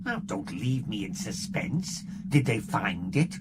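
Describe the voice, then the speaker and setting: A man's voice: on the low side, very animated, takes it slowly. A man voicing a sly, greedy male Ferengi barkeeper who talks in a wheedling, sarcastic way. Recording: loud background noise.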